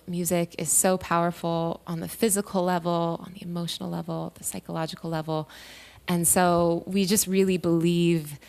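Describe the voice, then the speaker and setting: A woman's voice: on the low side, darkish tone. A woman musician introducing herself as a guest, conversational, earnest and reflective.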